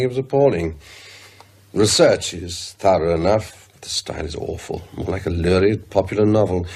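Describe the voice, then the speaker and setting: A man playing a pedantic, grave scholar in a radio drama, speaking in a husky, hesitant, deliberate way. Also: low voice, a little mellow, heavily acted. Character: pedantic, grave male scholar delivering grim findings.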